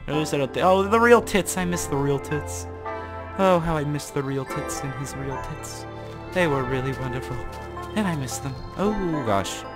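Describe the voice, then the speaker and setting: A young man giving casual, rambling commentary over his own gameplay, joking at himself and breaking into laughing shouts. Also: on the high side, moderate pace, over music. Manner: rambling, self-mocking, bursts into laughing shouts. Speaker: gaming YouTuber.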